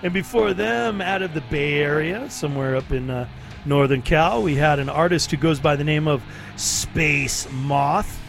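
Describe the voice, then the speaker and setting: A man hosts a late-night radio show in an easygoing, rambling way, full of fillers and quick laughs. His voice sits a little high for a man, and soft music plays faintly underneath. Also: vocal fry. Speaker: radio DJ host. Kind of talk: casual radio banter.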